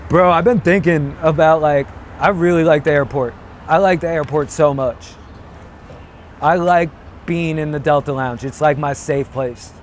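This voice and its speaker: Higher-voiced man telling rambling stories. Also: unhurried, a little hiss or hum. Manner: rambling storyteller with swearing. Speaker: comedian guest. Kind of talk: casual street chat. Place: outdoors on a city street.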